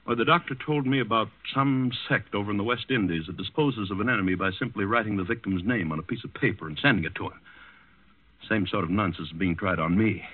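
An actor playing a nervous, haughty count who stammers and repeats himself, in 1940s radio drama style. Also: male voice, lowish voice, quick pace, lightly acted. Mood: uneasy rambling. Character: nervous, agitated Danish count, haughty and morbidly fearful. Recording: muffled vintage 1940s radio recording.

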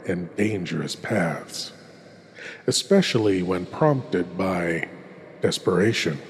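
A man playing a courtly, ghoulish horror host, with hushed, theatrical delivery and dramatic pauses. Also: on the low side. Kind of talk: theatrical scripted horror hosting. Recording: long reverberant echo.

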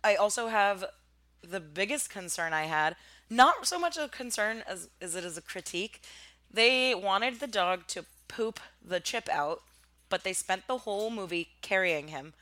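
A woman's voice: medium pitch, animated, measured pace, bright, forceful tone, no room sound. A woman co-hosting a casual film podcast, whose first language is Armenian, chatting and laughing as she acts out lines she quotes. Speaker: podcast co-host. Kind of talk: casual chat, film review.

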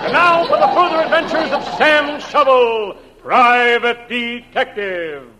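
A man playing a mock-sincere comic pitchman in a 1940s radio spoof advertisement, with theatrical, emphatic phrasing. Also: a little slow, loud music behind the voice, over a noisy crowd. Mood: announcing. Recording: very noisy old radio recording.

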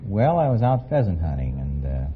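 A man's voice: deep voice, big pitch swings, normal speed. An American man answering as a quiz contestant in a plain-spoken, straight-faced, unscripted way. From California, United States. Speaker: quiz contestant, patent attorney. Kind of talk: unscripted radio interview answers. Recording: muffled old radio recording, loud noise.

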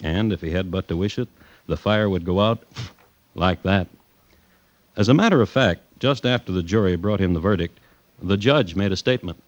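An actor playing a wry, genteel teller of historical crime cases, narrating a 1950s radio drama in a measured, droll, understated way. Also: male voice, deep voice, no echo, natural voice. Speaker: host and narrator. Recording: dry, dull vintage radio recording.

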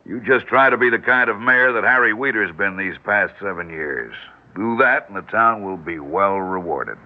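A man's voice: lowish voice, expressive, moderate pace, natural voice. A man playing a blunt, folksy, commanding older campaign boss in an old-time radio drama. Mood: frank, fatherly. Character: older male small-town campaign boss, blunt and avuncular. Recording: tinny, noisy old radio broadcast recording.

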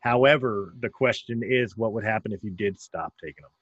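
A man's voice: fairly low pitch, fairly quick, a bit bright, breathy edge, no echo. A man hosting a podcast, talking casually with frequent stammering restarts. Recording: dull, dry, slightly lo-fi.

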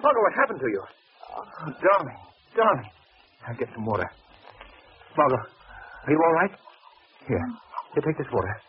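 An actor playing an earnest, decisive gentleman detective, well-spoken and urgent, in an old radio mystery. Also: a little high, very animated, moderate pace, long pauses. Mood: concerned. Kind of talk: 1940s radio acting. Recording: muffled old radio recording, faint noise.

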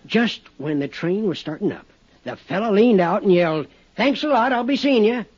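A man's voice: high-pitched, lively intonation, normal speed, breathy edge. An actor playing a chatty, folksy older railway mail man with a drawl in a 1950s radio drama. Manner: rambling, folksy, drawling country talk. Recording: dull vintage 1950s radio recording.

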